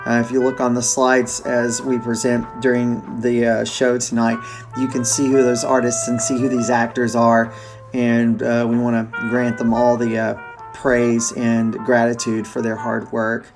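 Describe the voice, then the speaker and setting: A man speaking as host and theater director, giving an earnest, halting welcome speech. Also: mid-range voice, monotone, over music.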